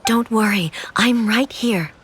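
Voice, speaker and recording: A woman playing a composed, regal female shogun in a video game, speaking formally and with measured gravity. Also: on the high side, slight background noise. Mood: calm, reassuring. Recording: music behind the voice.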